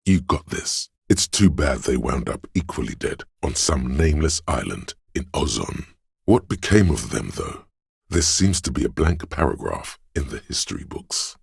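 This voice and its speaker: A man voicing a learned inner voice in a video game, reciting obscure facts in a measured, lecturing, gravelly way. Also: extremely low pitch, no room sound, clean studio sound, heavily acted. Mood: reassuring. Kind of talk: video game scripted narration.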